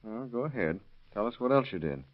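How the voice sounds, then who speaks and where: An actor playing a calm arson investigator in old radio drama, questioning in a matter-of-fact way. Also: male voice, mid-range voice, brisk, lightly acted. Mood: businesslike. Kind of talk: 1930s radio acting. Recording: muffled vintage radio recording.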